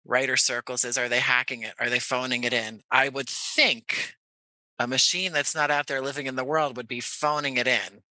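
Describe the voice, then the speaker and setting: Man, American accent, very bright forward voice, dry close sound, reflective interview chat, measured halting phrasing. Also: medium pitch, fairly even intonation, dry recording, poor audio. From Jersey City, United States. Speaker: podcast guest, audio playwright.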